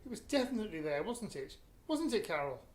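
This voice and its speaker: A British woman playing a blunt, indignant but protective parent in a radio play, with a brisk manner. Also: mid-range voice, big pitch swings, a little mellow, natural voice. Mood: insistent. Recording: roomy, faintly noisy recording.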